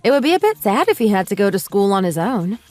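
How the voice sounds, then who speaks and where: A woman's voice: mid-range voice, sing-song, quick pace, darkish tone, dry recording, faint music behind, lightly acted. A woman playing a sensible, put-upon mother in her late thirties in a radio drama, polite but firm. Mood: reasonable. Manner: polite, reasonable, mildly exasperated.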